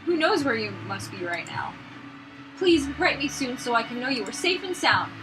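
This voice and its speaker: A woman's voice: a little high, sing-song, moderate pace, noisy background. A woman voicing an earnest, eager young man, a painter, in a visual novel. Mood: tender.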